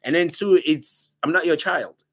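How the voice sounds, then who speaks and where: Man, big loud voice, animated storytelling chat. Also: a little high, moderate pace. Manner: animated, laughing, quotes himself in raised voice. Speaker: dating show guest.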